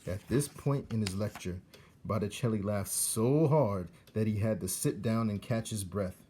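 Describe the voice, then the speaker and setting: A man narrating a storybook aloud as a teacher would, with deliberate, dramatic phrasing. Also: lowish voice, dark tone, slight background noise.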